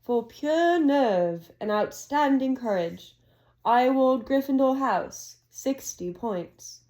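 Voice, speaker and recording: A woman reading aloud as an old, wise male headmaster, speaking in a calm, measured, formal way as he announces to a hall. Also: extremely high pitch, heavily acted. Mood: formal, kindly.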